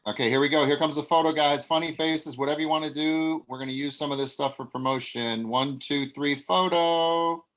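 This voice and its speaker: A man's voice: on the high side, steady tone, a little fast, hardly any pauses, a bit bright. Acting teacher, male, blunt and direct, giving notes on a video call. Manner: blunt, coach-like. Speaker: acting teacher leading a table read.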